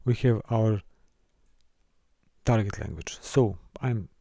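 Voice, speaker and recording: A man from Serbia, teaching a course and walking through a software console, with careful, halting phrasing. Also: lowish voice, lively intonation, gravelly, no room sound, clean studio sound. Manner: careful, halting delivery with small restarts and non-native phrasing. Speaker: course instructor. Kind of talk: screen-recorded software tutorial.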